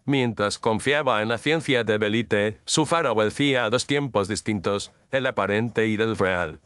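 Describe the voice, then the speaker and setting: A man playing a composed, incisive detective in an audio drama, speaking formally and with measured declamation. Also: lowish voice, fairly bright tone, clean tone, no echo, close to an everyday voice. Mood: composed, accusing.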